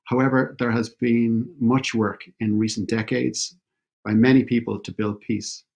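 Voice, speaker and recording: A man, opening an online event over a video call, measured and halting with fillers. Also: mid-range voice, flat delivery, gravelly, darkish tone. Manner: measured, with many fillers and restarts. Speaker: event host. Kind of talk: formal opening speech. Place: indoor room at home. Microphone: video call webcam.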